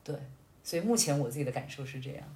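A woman's voice: low voice, expressive, racing, gravelly, dark tone. A young woman chatting reflectively and articulately, laying out her thoughts in order as a podcast host. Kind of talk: reflective casual chat. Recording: echoey, roomy sound.

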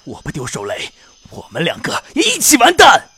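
A man playing a hot-headed, panicky soldier in a radio drama, excitable and coarse. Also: fairly high pitch, normal speed, breathy edge, heavily acted. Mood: panicked. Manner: excitable, coarse, shouting and swearing. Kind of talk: Chinese radio drama acting.

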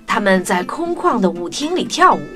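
A woman's voice: mid-range voice, sing-song, moderate pace, fairly bright tone. A woman narrating a children's story expressively. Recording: music under the voice.

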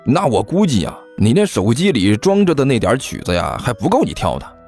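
Man acting a smug, teasing, animated chubby student. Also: mid-range voice, fairly quick, faint music behind. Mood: sneering. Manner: drawling, mock-concerned, jeering. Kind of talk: audiobook character acting.

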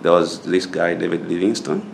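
A young man from Zambia answers interview questions in a very deep, gravelly voice, pausing often with fillers. Faint noise hums behind him. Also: level tone. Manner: hesitant, many filler pauses. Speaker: student taking a mock speaking test.

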